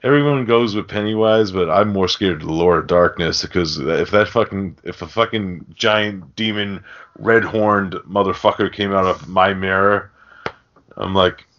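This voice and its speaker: A low-voiced man talks casually as a podcast host. He rambles and swears freely, and the recording is dull and lo-fi. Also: normal speed, fairly bright tone. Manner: rambling, profane. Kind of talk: casual film discussion. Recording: dull, lo-fi audio.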